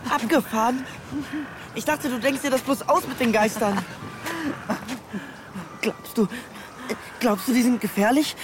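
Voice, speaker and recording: A woman's voice: a little high, steady tone, unhurried, long pauses, noisy background, natural voice. A woman playing a nervous, sceptical young boy in an audio drama. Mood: frightened, incredulous. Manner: anxious, doubtful. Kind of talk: German audio drama acting.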